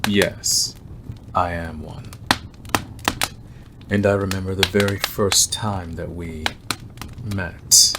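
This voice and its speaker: A man playing a predatory, obsessive male werewolf in an ASMR roleplay, talking in a deliberate, teasing way with drawn-out pauses. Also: sits low, fairly even intonation, very noisy. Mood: menacing reminiscence.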